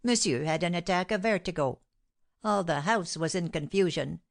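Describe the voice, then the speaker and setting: A woman's voice: fairly low pitch, vocal fry. A woman reading aloud voices a sly, boastful male servant who drags out his story. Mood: gossiping. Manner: dramatic, drawn-out storytelling.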